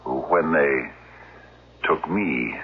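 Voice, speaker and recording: Unstable, menacing grown son: very low, gravelly, halting, theatrical radio-drama delivery. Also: male voice, full character voice. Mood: quietly menacing. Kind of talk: 1970s radio drama acting. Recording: dull lo-fi old radio recording.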